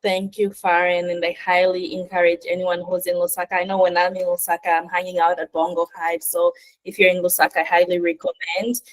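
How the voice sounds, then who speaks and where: Low-voiced woman hosting a webinar. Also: little pitch movement, brisk, poor audio. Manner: enthusiastic, with frequent "uh" fillers. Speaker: webinar host. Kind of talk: online webinar interview. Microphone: video call.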